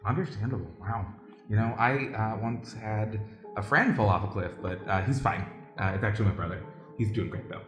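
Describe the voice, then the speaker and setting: A man's voice: on the low side, brisk, lightly acted. A man playing a defensive, rambling second-rate magician in an improvised comic radio play. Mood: sheepish. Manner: hesitant, self-justifying, rambling. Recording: roomy, music underneath.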